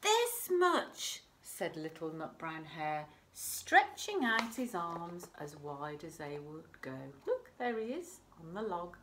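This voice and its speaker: A woman reading a bedtime story to young children, expressive and talking straight to them, sometimes dropping to a hush. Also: low-pitched, takes it slowly, few pauses, a little hiss or hum. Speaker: primary school storyteller.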